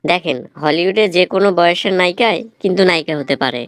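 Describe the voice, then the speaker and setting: A man's voice: sits high, quick pace, a bit bright, clean tone, dry recording, studio quality. A man voicing a blunt, teasing film writer who lectures sardonically in a Bangladeshi accent, in a comic cartoon. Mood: lecturing.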